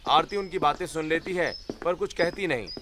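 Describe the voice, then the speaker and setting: Male narrator, slightly high voice, scripted storytelling, light background noise. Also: a little fast, fairly bright tone.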